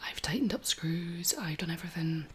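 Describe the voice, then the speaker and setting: A woman in her thirties speaks in a breathy near-whisper, pitched a little low and slightly husky. She chats casually as an ASMR host, gentle and unhurried. A faint layer of background noise sits behind her voice. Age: 30s. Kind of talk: soft-spoken ASMR, casual chat.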